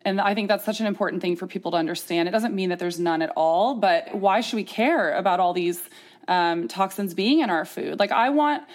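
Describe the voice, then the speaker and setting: A woman's voice: medium pitch, brisk. A woman hosting a candid interview, talking casually with earnest emphasis. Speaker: podcast host.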